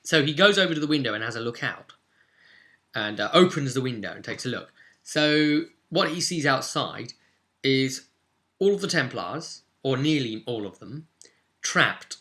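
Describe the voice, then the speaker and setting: Guy gm recapping his tabletop rpg like a story, sharp bright voice, casual but dramatic pauses. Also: medium pitch, animated. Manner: informal storytelling, emphatic with suspenseful pauses. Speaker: game master.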